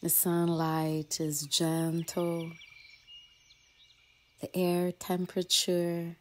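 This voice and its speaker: A woman with a low voice guides a relaxation practice as a mindfulness teacher. She speaks extremely slowly and gently, leaving long pauses between short phrases that trail into soft breath. Faint birdsong and nature ambience sit behind her voice. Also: monotone, a little hiss or hum. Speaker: yoga and mindfulness teacher leading a guided meditation. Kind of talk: guided meditation.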